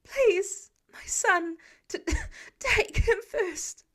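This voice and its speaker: A woman's voice: very high-pitched, very slow, fairly bright tone, voice pushed up, exaggerated voice. A woman voicing a terrified young mother in an audiobook story, pleading with breathless, desperate cries.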